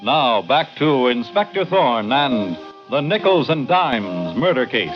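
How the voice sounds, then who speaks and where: A man's voice: mid-range voice, big pitch swings, slow pace. A man delivering scripted announcements and narration in a vintage radio style. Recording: dull vintage radio recording, music behind. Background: music.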